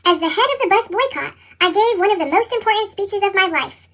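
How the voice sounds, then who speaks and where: A reader with a squeaky, pitched-up chipmunk effect, narrating a children's picture book and acting out the dialogue expressively. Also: a little fast, heavily acted. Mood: earnest, determined. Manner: expressive storytelling, acts out dialogue.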